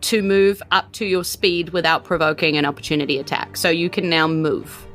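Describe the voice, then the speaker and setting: A woman's voice: low voice, fairly even intonation, normal speed, fairly bright tone. A woman with a New Zealand accent casually narrating a tabletop game as game master. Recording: background music under speech. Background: music.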